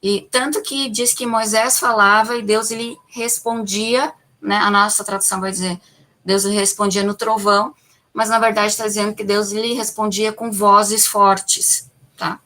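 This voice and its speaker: Bright-voiced Brazilian woman teaching. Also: mid-range voice, steady tone, unhurried. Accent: Brazilian Portuguese. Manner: explanatory, asks the class questions and answers them; peppers sentences with "tá?" and "né?". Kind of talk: classroom Bible lesson.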